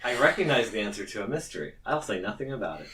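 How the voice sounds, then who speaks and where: A woman's voice: extremely low pitch, very animated, fast talker, fairly bright tone. A woman casually narrating and commenting during a tabletop roleplaying game. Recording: faint background noise.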